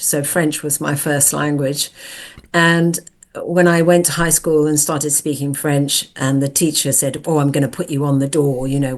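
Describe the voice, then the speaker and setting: Low-voiced older woman in her seventies. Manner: reflective, storytelling. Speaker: guest. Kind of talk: casual conversational interview.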